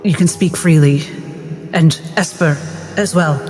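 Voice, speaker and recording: A composed, encouraging doctor, acted by a game player speaks in a measured, reassuring way. The voice is medium in pitch, with faint music behind, as part of a tabletop roleplaying game. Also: darkish tone, long reverb tail, natural voice.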